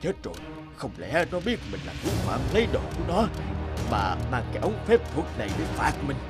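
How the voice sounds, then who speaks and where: A man's voice: on the high side, wildly swooping pitch, fairly quick, loud music behind the voice. A man voicing a sly, greedy old innkeeper in a cartoon. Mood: gloating, scheming. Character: sly, greedy old male innkeeper, scheming then pleading. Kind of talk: cartoon voice acting.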